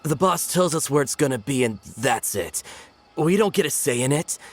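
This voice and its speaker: A man plays a timid underling dealer with a lively, jumpy pitch. He stammers and hesitates, ready to give up at the first sign of trouble. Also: moderate pace, lightly acted. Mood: nervous. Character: skittish male underling dealer, quick to give up.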